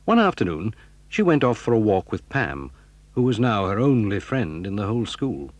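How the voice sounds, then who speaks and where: A man's voice: lowish voice, big pitch swings, normal speed, no room sound. Man narrating a story, dry sound. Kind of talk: scripted narration.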